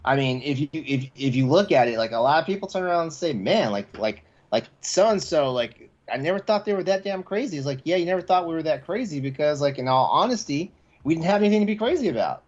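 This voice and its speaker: A man's voice: on the high side, animated, fast, few pauses. Man in casual chat, slightly muffled sound. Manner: rambling, repeats words and phrases emphatically. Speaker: podcast co-host. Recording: slightly dull, lo-fi voice track.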